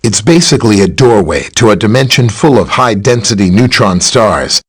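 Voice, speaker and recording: Lively, husky superhero scientist. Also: male voice, lowish voice, normal speed. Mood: explanatory. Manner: casual, conversational, explanatory. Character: friendly, knowledgeable male superhero scientist, easygoing ally. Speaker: video game voice actor playing a superhero scientist. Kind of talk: video game character dialogue, briefing and greeting.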